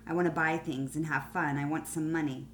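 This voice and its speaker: A woman's voice: low voice, fairly even intonation, brisk, slight background noise, natural voice. A woman voicing a needy, demanding boy in a children's storybook, blunt and insistent in manner. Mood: wanting, insistent. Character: needy, demanding boy growing older and wearier.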